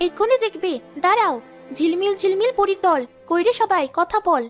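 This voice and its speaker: A woman voicing a tiny, gentle flower fairy in a children's cartoon, sweet and appealing. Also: very high voice, normal speed. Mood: bright, inviting. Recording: music under the voice.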